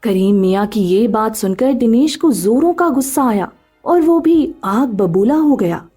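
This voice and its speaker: A woman's voice: a little high, lively intonation, unhurried, dark tone, husky, faint music behind. A woman narrating a scripted story as the narrator.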